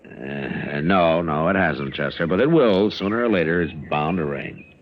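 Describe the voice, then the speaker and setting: A seasoned American lawman of the old West, low-pitched and laconic, with a dry, teasing calm. It is radio western drama on a muffled, noisy vintage recording. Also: measured pace. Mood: wry, easygoing. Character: seasoned, wry frontier US marshal, calm and dry.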